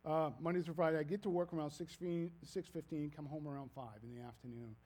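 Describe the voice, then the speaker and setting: A man in his fifties with a Maine accent preaches in a loose, storytelling way, circling back and restarting his sentences. His voice sits a little high yet sounds mellow and warm. He pokes fun at himself as he goes. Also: steady tone, fast talker. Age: 50s. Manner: rambling, folksy, self-deprecating, frequent restarts. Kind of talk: casual sermon storytelling.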